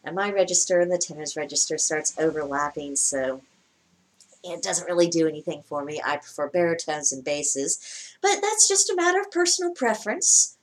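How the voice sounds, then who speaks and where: A woman with a lower-pitched voice giving casual spoken commentary as a vlogger, wry and chatty, often laughing mid-sentence. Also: expressive, moderate pace, low-quality recording. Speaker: vlogger reacting to a broadcast.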